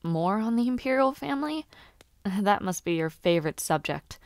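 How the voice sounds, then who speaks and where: A woman's voice: medium pitch, normal speed, no echo, lightly acted. Woman playing a playful young noblewoman knight-in-training, close dry sound. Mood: curious, teasing. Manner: conversational, teasing, expressive, with hums and hesitations. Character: lively young noblewoman and aspiring knight, playful gossipy companion. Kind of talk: fantasy audio roleplay addressed to the listener.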